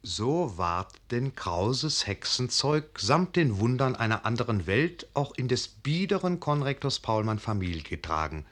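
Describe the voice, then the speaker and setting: An actor playing the wry, old-fashioned literary narrator of a German radio play, telling the story expressively. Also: male voice, medium pitch.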